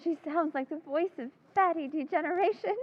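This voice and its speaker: Woman playing nervous scornful girlfriend, very high plaintive voice, radio play, dull sound. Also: brisk. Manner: plaintive, complaining. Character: uneasy, scornful young woman out with her sweetheart. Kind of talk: old-time radio drama acting. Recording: dull live stage sound, audience, faint music.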